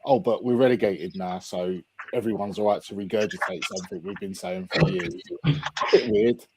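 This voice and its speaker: A man's voice: fairly low pitch, animated, moderate pace, vocal fry, heavy background noise. A man giving blunt, sarcastic opinions on a football podcast. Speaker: podcast panelist. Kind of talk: casual chat.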